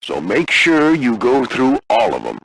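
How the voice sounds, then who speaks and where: Husky old warplane flight instructor. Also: male voice, mid-range voice, huge pitch swings, measured pace, fairly bright tone, heavily acted, poor audio. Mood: stern instructing. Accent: American. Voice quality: gravelly, husky. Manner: folksy, commanding coaching. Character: gruff old warplane flight instructor, stern but encouraging mentor. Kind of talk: scripted video game character lines.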